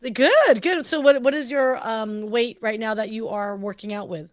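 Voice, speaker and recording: A woman with a raspy voice chatting over a video call, giggly, often trailing off mid-sentence. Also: on the high side, moderate pace. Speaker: podcast guest. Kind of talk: casual chat between friends.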